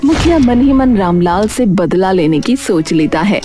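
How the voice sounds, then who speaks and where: A woman narrating a cartoon story in a plain, steady way. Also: mid-range voice, normal speed, over music.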